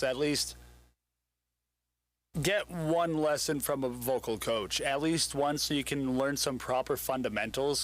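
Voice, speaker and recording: A man interviewing a musician in casual chat, agreeable and giving advice. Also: mid-range voice.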